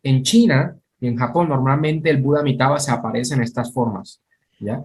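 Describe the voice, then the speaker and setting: A man teaching in a relaxed conversation on a video call, explaining step by step and checking in with his listener. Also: medium pitch, moderate pace, slight background noise. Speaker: Buddhist teacher.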